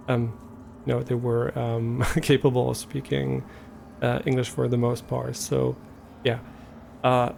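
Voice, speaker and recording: Man, German accent, native German speaker, gravelly fry, hesitant casual stream chat, faint background noise. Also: medium pitch, a little mellow. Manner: hesitant, rambling, thinking aloud. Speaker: live streamer.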